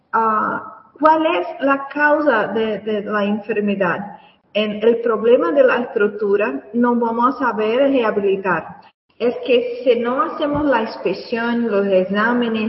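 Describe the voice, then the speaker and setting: Brazilian woman, native Portuguese speaker, hesitant guest voice, slightly high, roomy far-off audio. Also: highs cut off. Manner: halting, searching for words. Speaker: guest engineer. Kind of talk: radio interview answers.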